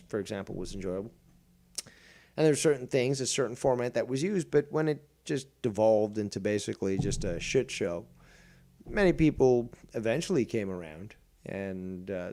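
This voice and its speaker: A man runs his own livestream from behind a desk mic, pitched right in the middle. He talks in a combative, mocking way and swears freely. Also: darkish tone. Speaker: streamer host. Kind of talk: livestream commentary.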